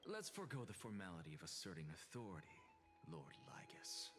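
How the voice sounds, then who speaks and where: An aloof, coolly defiant scholar from a video game. His formal speech is haughty and deliberate, with lively intonation. It rings with reverb over background music. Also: sits low. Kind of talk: theatrical video game dialogue.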